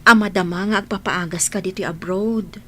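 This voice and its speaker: A woman's voice: medium pitch, fairly even intonation, normal speed, a bit bright, slight background noise, close to an everyday voice. A woman playing a frank, concerned friend in a radio drama, scolding yet caring, with a Filipino accent.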